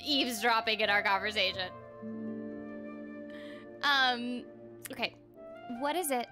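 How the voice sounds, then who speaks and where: A woman's voice: a little high, slow pace. A young woman casually commenting on a game stream, playful, reading dialogue aloud and mimicking characters. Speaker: streamer, voice actor. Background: game music.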